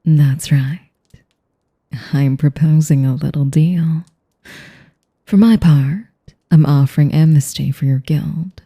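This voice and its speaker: A woman playing an elegant, manipulative vampire in an intimate audio roleplay, with a whispery voice and a slow, teasing, mock-polite manner. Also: low voice, dark tone, full character voice. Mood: smug, condescending. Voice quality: breathy, whispery. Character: sadistic, elegant, manipulative female vampire noble.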